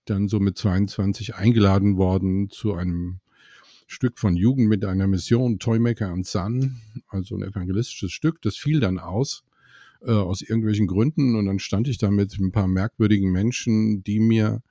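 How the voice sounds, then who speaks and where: A man's voice: lowish voice, steady tone, moderate pace, mellow, no room sound, studio quality. A middle-aged man telling his faith story in a candid, wry, conversational way.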